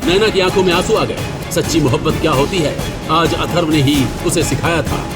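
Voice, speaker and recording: A man's voice: a little high, normal speed, a loud crowd. A man narrating a scripted audio story as a dramatic storyteller. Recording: loud music behind.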